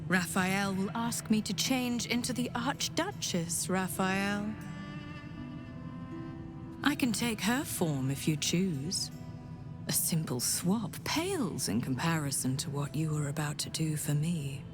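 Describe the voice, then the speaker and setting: An actress playing a sultry shapeshifting fiend in female form for a video game, seductive and measured. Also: on the low side, expressive, over music. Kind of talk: video game character acting.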